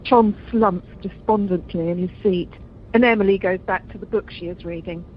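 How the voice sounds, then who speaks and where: A woman's voice: medium pitch, fairly even intonation, moderate pace, lightly acted. A woman acting as narrator, reading scripted action for an amateur comedy radio play. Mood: descriptive. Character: female narrator describing the action. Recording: muffled conference call, faint noise.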